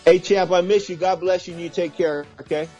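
A man's voice: high voice, fairly even intonation, a little fast, darkish tone, soft background music. A man in his sixties hosting a boxing radio show, effusive and sentimental, repeating his phrases for emphasis. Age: 60s. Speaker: radio talk show host.

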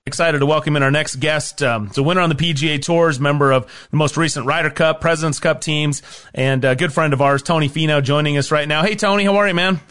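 A male radio host with a notably high voice, upbeat and chummy as he brings in guests. He talks in a friendly on-air manner. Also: steady tone, fast, fairly bright tone. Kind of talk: talk radio hosting.

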